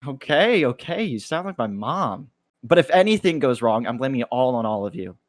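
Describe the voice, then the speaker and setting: A man's voice: medium pitch, big pitch swings, brisk, dry recording, lightly acted. Man playing a nervous college guy, dry sound. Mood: resigned. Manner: hesitant, stammering when nervous. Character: nervous, self-deprecating young male college freshman with a crush. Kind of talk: table read of a pilot script.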